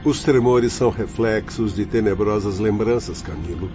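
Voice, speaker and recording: An actor playing a stern, learned spirit instructor in a radio drama, speaking formally and declaiming over music. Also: male voice, on the low side, normal speed, close to an everyday voice. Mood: grave, explaining. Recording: dull lo-fi audio, faint music.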